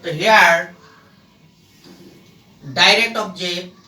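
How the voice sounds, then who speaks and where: A man gives an instructional lesson in a high, bright voice. He speaks extremely slowly, setting out short phrases one at a time with emphatic stress. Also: steady tone. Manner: halting, emphatic, word-by-word phrasing. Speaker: English grammar teacher. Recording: echoey room, distant microphone.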